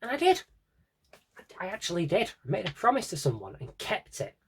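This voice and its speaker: A man's voice: high-pitched, wildly swooping pitch, fairly quick, slight background noise. A man talking casually and off the cuff as a vlogger, rambling with tongue-in-cheek asides. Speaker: vlogger showing an audio drama CD collection. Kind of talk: casual unscripted chat to camera.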